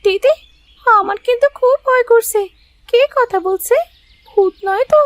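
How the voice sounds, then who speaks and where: Very high-pitched, timid little girl. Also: unhurried, no echo. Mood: plaintive, fearful. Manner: plaintive, childlike. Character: timid, pleading little orphan girl, younger sister. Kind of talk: Bengali cartoon voice acting.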